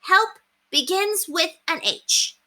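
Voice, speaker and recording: A woman acts out a stubborn little storybook girl, smug and blunt. Her words come slowly and deliberately, in a bright voice. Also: extremely high pitch, lively intonation, voice pushed up, heavily acted. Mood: pleased. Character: stubborn, self-satisfied little girl, contrary pest.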